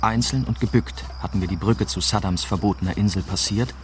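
A man's voice: on the low side, fairly quick, slight background noise, close to an everyday voice. A man playing a young journalist who narrates his own adventure in a scripted radio drama. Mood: tense, factual. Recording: music behind the voice.

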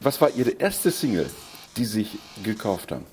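A man's voice: lowish voice, big pitch swings, moderate pace, a little mellow, soft background music. A middle-aged man hosting a radio interview, asking questions formally and politely, with hesitant fillers. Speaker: radio host.

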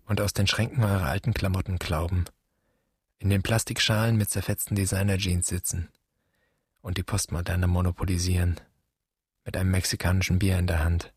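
A wounded son searching for an absent father in a literary radio drama. The delivery is solemn and poetic, in a very low, breathy-rough voice, close and dry. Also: level tone, fairly quick, dry recording. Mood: sardonic, resigned. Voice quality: husky.

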